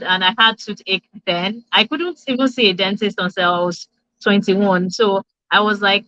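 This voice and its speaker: A woman's voice: medium pitch, pushed and bright, no room sound. A young Nigerian woman with a Nigerian accent, speaking earnestly as a dentist guest in an interview, with many ums and restarts. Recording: dull lo-fi dry sound.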